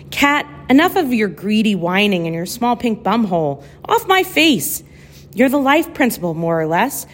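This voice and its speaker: A woman reading a poem aloud as a community reader, in a recitation style. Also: medium pitch. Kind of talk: poetry recitation.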